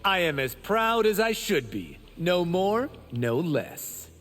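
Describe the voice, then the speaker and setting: A man's voice: high-pitched, very animated, slow, fairly bright tone, slight background noise, exaggerated voice. An actor playing a proud, boastful young male martial arts champion in a video game, with a smug, self-assured, grand delivery. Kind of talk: video game character dialogue.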